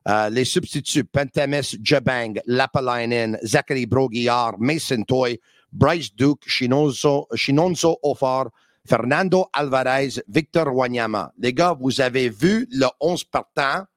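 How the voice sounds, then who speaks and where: A man with a Quebec French accent hosting a sports podcast, lively and joking, with quick asides. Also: medium pitch, no room sound, clean studio sound. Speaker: podcast host. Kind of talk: casual sports talk.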